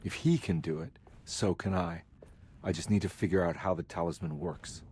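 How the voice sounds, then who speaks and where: An actor playing a weary, stubborn private detective in a noir video game, talking in a dry, casual way. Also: male voice, deep voice, normal speed, darkish tone, a little hiss or hum, close to an everyday voice. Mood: musing.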